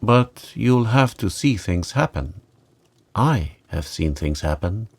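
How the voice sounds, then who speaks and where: A man as a solemn, slow-speaking marble child. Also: on the low side, animated, breathy edge, full character voice. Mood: grave, foreboding. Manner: grave, gentle. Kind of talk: storybook character reading.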